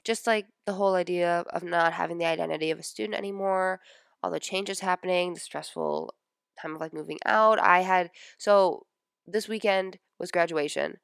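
Stuffy-nosed young woman with a creaky voice. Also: fairly low pitch, steady tone, moderate pace, no echo, studio quality. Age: 20s. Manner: chatty, lots of "like" and "um". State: stuffy nose from a sinus infection. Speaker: podcast host. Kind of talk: casual personal podcast chat.

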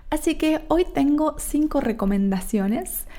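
Slow, mellow Argentine woman teacher. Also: high voice, expressive. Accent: Argentine Spanish. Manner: slow and careful, checks in with "¿sí?". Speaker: Spanish teacher and podcast host. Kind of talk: podcast lesson for learners.

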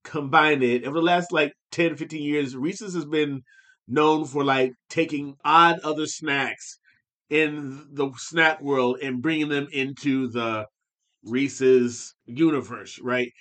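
A man's voice: a little high, fairly even intonation, takes it slowly, fairly bright tone. A man co-hosting a podcast, rambling loosely in casual chat with lots of restarts. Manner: rambling, many fillers and restarts. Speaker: podcast co-host.

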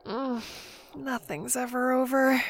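A woman's voice: sits high, steady tone, slow. A woman playing a blunt, world-weary yet hopeful companion in a radio drama, casual and wry. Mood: wry reassurance.

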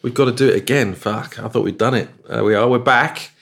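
A man's voice: mid-range voice, brisk, vocal fry, fairly bright tone. A middle-aged man co-hosting a casual music podcast, joking and laughing, trailing off with fillers. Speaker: podcast co-host. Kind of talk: casual chat.

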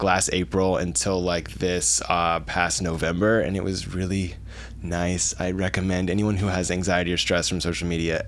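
A man in his thirties, a doctor hosting a podcast, chatting in an upbeat, rambling way while asking questions. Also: low-pitched. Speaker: podcast host, physician. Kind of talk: casual interview chat.

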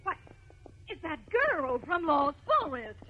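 An anxious, homely farm wife in an old radio drama, talking in fretful country phrases. The recording is dull with faint noise. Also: female voice, high-pitched, sing-song, takes it slowly, exaggerated voice. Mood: alarmed. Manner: folksy rural talk, fretful. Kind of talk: 1950s radio drama acting.

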